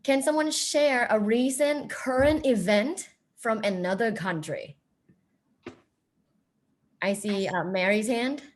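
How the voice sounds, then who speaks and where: A woman's voice: fairly high pitch, takes it slowly, pauses often. A woman from Thailand facilitating a group video chat as host, affirming and encouraging people as she goes. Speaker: host of an online group activity. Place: online video meeting.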